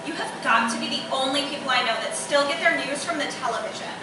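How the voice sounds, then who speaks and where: A woman's voice: high voice, fast, over music, natural voice. A woman playing a nosy, teasing college friend with a wry manner in a live radio theatre play. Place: live stage performance in echoey room. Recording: very echoey hall, distant mic, noisy.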